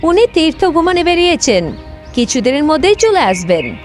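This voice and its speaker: Woman voicing simple loyal wife, high voice, lively melody, earnest, excitable, cartoon fable. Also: moderate pace, soft background music. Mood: agreeable.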